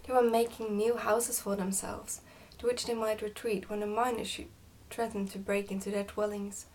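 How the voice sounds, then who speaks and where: Woman, medium pitch, ASMR book reading, soft-spoken, occasional whispery words. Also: little pitch movement, moderate pace, far from the mic, slight background noise, rough recording. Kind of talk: ASMR storybook narration.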